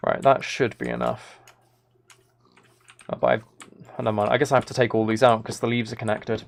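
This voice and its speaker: A man's voice: lowish voice, very animated, fairly quick, vocal fry. A man livestreaming a game with casual commentary, muttering to himself in a mildly exasperated way. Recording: keyboard typing and clicking noise. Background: keyboard and mouse clicks.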